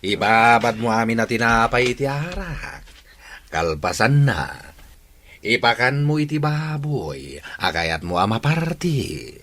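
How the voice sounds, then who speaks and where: A man's voice: mid-range voice, big pitch swings, measured pace, a bit bright, heavily acted. A man with an Ilocano accent playing a confident, conspiratorial village buddy who coaches his friend in a comedy radio drama. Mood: instructing.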